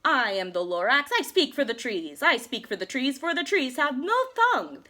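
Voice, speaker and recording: Brief: woman doing a shortish, oldish male creature in a storybook, sharpish and bossy, shouting, lively swings in pitch. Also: normal speed, heavily acted. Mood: shouting indignantly.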